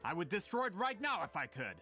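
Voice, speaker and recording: High-voiced, scheming, pompous merchant. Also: male voice, very animated, fast, pushed and bright, faint music behind, exaggerated voice. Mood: scornful. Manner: pompous, theatrical. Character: scheming, haughty male merchant, vain would-be tycoon. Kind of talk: video game voice acting.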